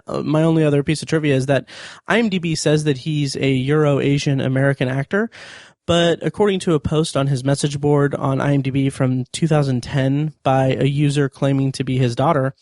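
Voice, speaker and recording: A man hosting a review podcast, talking through notes and opinions in a somewhat halting, hesitant way. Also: medium pitch, steady tone, a little mellow, dry recording, clean studio sound. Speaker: podcast host.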